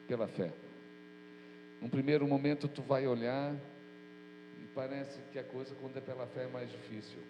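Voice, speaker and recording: A man preaching as pastor, his voice nasal and stuffy from a cold, speaking earnestly and informally. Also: mid-range voice. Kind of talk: prayer and sermon. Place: church hall. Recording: echoey church hall with mains hum.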